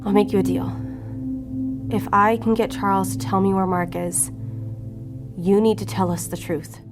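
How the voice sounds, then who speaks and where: Shrewd female agent played by a woman, mid-range voice, controlled and relentless, audio drama with clear music bed. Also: medium pitch, fairly even intonation, normal speed, close to an everyday voice. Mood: firm, reassuring. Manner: controlled, needling, firm. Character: cool, shrewd female investigator, bluffing and relentless. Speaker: law enforcement agent. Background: music under the voice.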